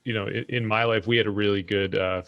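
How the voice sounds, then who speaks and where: A man's voice: on the low side, steady tone, quick pace, muffled recording. A man hosting a podcast, interviewing a guest in a casual, conversational style. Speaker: podcast host.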